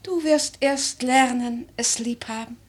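A woman playing an aristocratic old grandmother in a German radio drama, speaking in a theatrical, measured, lyrical way, worldly and imperious. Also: a little high, slightly rough. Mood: solemn, fervent. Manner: theatrical, measured, lyrical, with emphatic swells and hushed phrases.

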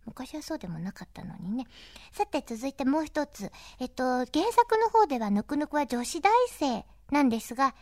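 A woman hosting a chatty, polite radio-style interview in an animated way, with frequent agreeing interjections. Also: sits high, moderate pace, dry recording, clean studio sound. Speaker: interviewer and DJ host.

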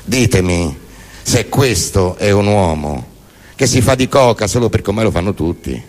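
A man performs theatrical spoken word as a stage monologue, in a deadpan, declamatory manner. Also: lowish voice, unhurried. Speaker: stage performer reciting poems and prose monologues.

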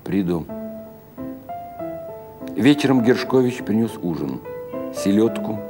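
Deep-voiced male story narrator. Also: moderate pace, music in the background. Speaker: narrator of literary short stories. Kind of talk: dramatic scripted narration of classic fiction.

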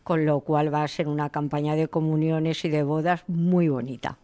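A woman's voice: low-pitched, level tone, moderate pace, mellow. A woman, chatting casually as a clothes shop owner. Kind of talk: casual chat.

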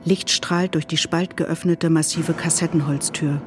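Low-pitched woman narrating over music. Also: steady tone, measured pace. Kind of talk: scripted audio-drama narration, like read stage directions.

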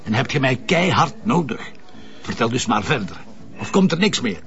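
An actor playing a blunt, mocking police commissioner with a Flemish accent in a crime drama. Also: male voice, mid-range voice, big pitch swings, moderate pace, a bit bright, soft background music. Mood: sardonic. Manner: mocking, sarcastic. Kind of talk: TV detective drama dialogue. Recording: dull lo-fi broadcast audio.

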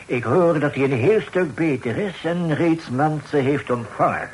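Lively high-voiced merchant gentleman. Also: measured pace, poor audio. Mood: courteous, businesslike. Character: courteous, wordy merchant employer. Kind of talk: old Dutch radio play acting. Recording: old radio recording with faint noise.

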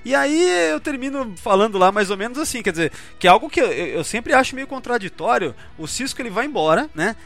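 A man's voice: extremely high pitch, very animated, a little fast, gravelly, hardly any pauses, a bit bright, faint music behind. A Brazilian man hosting a podcast in casual chat, informal and questioning, often repeating himself. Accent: Brazilian Portuguese. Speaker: podcast host.

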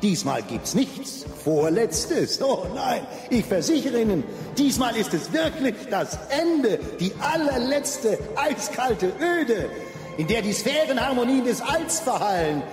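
A man's voice: very high-pitched, very animated, slow, heavily acted. An actor playing a grandiose, oily cabaret showman who effusively hosts the end of the universe in a radio play. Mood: ominous grandeur. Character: gushing, theatrical showman compering the end of the universe. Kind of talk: bombastic cabaret master-of-ceremonies patter. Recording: echoey hall reverb, music and audience behind.

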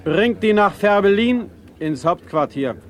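An actor playing a stern, unbending Prussian Elector in a classical German radio drama, speaking formally and with authority. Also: male voice, high-pitched, animated, unhurried, slight background noise. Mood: cool command.

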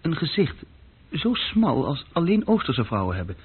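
A man's voice: on the high side, wildly swooping pitch, normal speed, breathy edge, heavily acted. An actor playing a restless young man telling of his adventures in a conversational radio-drama style. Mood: marvelling, animated. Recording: dull, lo-fi old radio recording.

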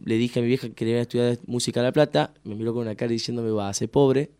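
A man in his thirties with a Tucumán Argentine accent, a musician chatting loosely as a radio guest. His voice sits at an ordinary middle pitch and sounds dry and close, as he rambles through his story with restarts and fillers. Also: fairly even intonation, very fast, dark tone, clean tone, no echo. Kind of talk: casual radio interview.

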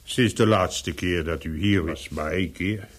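An actor playing a discreet, worldly-wise Paris hotel servant in a radio play, with a gravelly, husky voice and a deferential, dry, hesitant manner. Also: male voice, sits low, measured pace. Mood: discreet, matter-of-fact.

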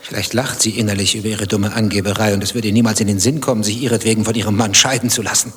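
A man's voice: fairly low pitch, steady tone, rapid-fire, slight background noise, close to an everyday voice. An actor playing a nosy, stubborn male stranger in a satirical German radio play, dry, probing and provocative.

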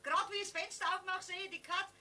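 A woman's voice: very high-pitched, fairly even intonation, fast, pushed and bright, exaggerated voice. A woman playing an agitated, bossy Bavarian building caretaker in a children's radio play, calling out shrilly. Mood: urgent. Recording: voice calling from far off.

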